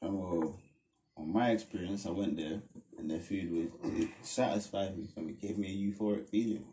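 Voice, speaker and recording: Young london guy, lowish mellow voice, laid back and dismissive, podcast chat. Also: normal speed, slight background noise. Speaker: podcast co-host. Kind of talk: casual chat. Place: small indoor studio room. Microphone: clip-on lapel mic.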